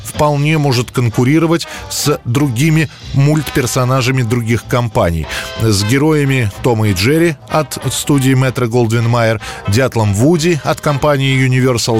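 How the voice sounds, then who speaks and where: A man tells scripted history as a programme narrator. His voice is medium in pitch and his phrasing is conversational with dramatic touches. Music plays clearly behind him.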